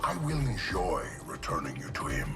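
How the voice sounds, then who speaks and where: A man's voice: deep voice, wildly swooping pitch, gravelly, far from the mic, exaggerated voice. A man voicing a hulking cruel villain in a video game, gloating and menacing. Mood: gloating menace. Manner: slow, menacing. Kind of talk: video game cutscene acting. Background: loud music.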